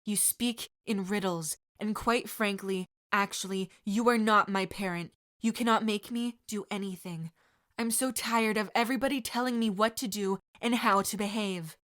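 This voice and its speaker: A woman voicing a stiff, earnest younger teenage boy who talks formally and defends himself quietly. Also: medium pitch, steady tone, moderate pace, lightly acted. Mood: prim.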